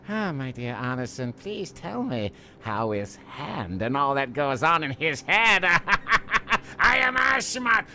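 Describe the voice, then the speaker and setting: An actor voicing a mocking, theatrical mad cultist villain in a video game. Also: male voice, sits high, sharp tone, slight background noise, exaggerated voice. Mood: fanatical menace. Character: gleeful mad male cultist villain, taunting fanatic.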